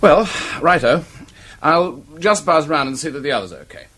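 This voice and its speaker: A man's voice: fairly high pitch, animated, normal speed, pushed and bright. An actor playing a breezy, rather superior office man of the public-school type in a period radio drama. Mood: awkward, placating. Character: clubbable British male copywriter, public-school type, tactless and superior.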